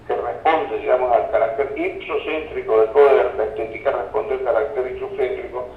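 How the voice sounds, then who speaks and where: A man speaks as a professor being interviewed, repeating words as he looks for the next phrase. The sound is roomy and somewhat dull, picked up at a distance from the microphone. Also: medium pitch. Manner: halting, repeats words while searching for phrases. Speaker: interview guest, university professor and researcher. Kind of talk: interview, explaining political and academic ideas.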